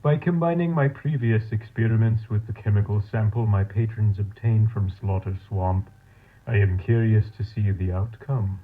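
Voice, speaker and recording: A tinny, narrow-band tape log carries the low voice of a male mad scientist, played by an actor. He dictates in a formal, measured, coldly clinical manner, as in an analog horror audio drama. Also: lightly acted.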